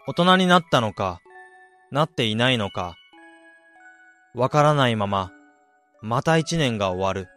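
A man's voice: mid-range voice, sing-song, a little slow, long pauses, fairly bright tone, soft background music. A man, around his thirties, playing a wistful, blunt, introspective man in a radio drama and narrating his reflections. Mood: reflective narration. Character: wistful man unsure whether he became an ideal adult.

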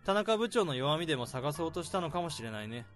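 An actor playing an overworked male office worker laying out a case, in a radio drama, speaking calmly and methodically. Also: fairly high pitch, quick pace, soft background music. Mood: explaining. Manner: calm, methodical explaining.

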